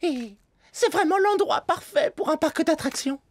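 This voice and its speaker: Cartoon microbe sidekick boy: high, animated, nervous, fussy, earnest. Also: normal speed, no echo. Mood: fretful, ranting. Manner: hesitant, confessional, fussy. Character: Pod, earnest, nervous young microbe boy, brainy best friend. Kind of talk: animated children's cartoon dub.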